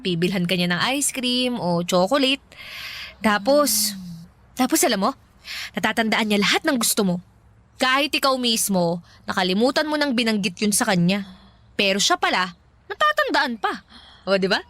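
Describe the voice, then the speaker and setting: Bright high voice, pushy young woman, teasing, giggly, radio drama matchmaker. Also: normal speed, pauses often, no room sound. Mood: persuasive, upbeat. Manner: teasing, giggly, animated, persuasive. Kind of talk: Filipino radio drama dialogue.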